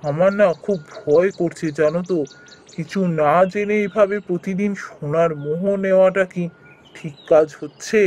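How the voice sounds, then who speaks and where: A performer playing a poor, gentle, anxious village husband in a fairy-tale cartoon, earnest and deferential in manner. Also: medium pitch, steady tone, moderate pace, close to an everyday voice.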